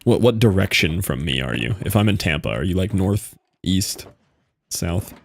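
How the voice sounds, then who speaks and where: A man hosting a casual gaming chat, talking in an animated way and laughing often as he chats with friends. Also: deep voice, moderate pace, creaky. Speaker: channel host.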